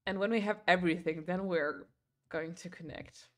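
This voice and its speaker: A woman's voice: on the low side, moderate pace, a bit bright. Chatty young woman. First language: German. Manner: chatty, rambling, filler-heavy, laughs often. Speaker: livestream host. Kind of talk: casual chat while crocheting.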